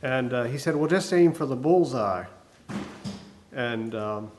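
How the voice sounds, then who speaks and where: A man preaching a sermon, hoarse from a cold, talking in a folksy, halting way with many fillers. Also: medium pitch, a little hiss or hum.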